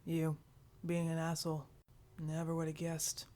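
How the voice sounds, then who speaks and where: A woman narrator acts out a blunt male demon hero for an audiobook. He is hushed and deadpan, speaking in short staccato bursts. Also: low voice, unhurried.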